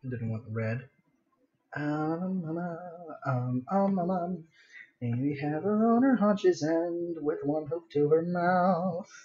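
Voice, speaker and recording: A man's voice: on the high side, slow, mellow, lo-fi sound. A man thinking aloud casually as he sketches on a live stream, muttering to himself with little sing-song filler noises. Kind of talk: casual thinking-aloud commentary while drawing.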